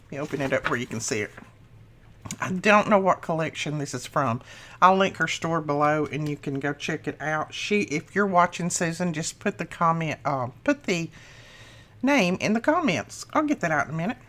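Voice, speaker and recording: A middle-aged woman hosting a craft channel, chatting casually through a tutorial with a slightly rough morning voice, laughing at her own mistakes. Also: low-pitched, lively intonation, brisk, a bit bright.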